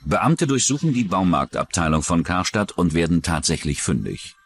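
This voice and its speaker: A man's voice: low voice, big pitch swings, moderate pace. A man narrating a true-crime documentary in a dramatic scripted style.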